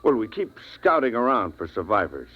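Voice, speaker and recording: An actor playing an astonished scientist scout with an emphatic delivery, in 1950s radio drama style. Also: male voice, on the low side, sing-song, moderate pace. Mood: explanatory. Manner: emphatic, incredulous. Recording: dull vintage radio recording.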